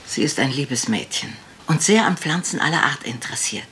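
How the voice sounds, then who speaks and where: A woman's voice: sits low, animated, moderate pace, a bit bright, a little hiss or hum. An older woman playing a frail, genteel, wistful lady in a radio crime drama, speaking hesitantly and ramblingly. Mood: gentle, rambling.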